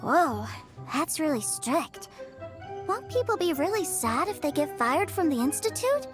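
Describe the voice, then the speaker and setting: An earnest little boy, his very high voice bouncing around as he speaks slowly and eagerly. Game music sounds clearly underneath. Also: sing-song. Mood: curious. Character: small eager young boy, admiring, earnest. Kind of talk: game dialogue voice acting.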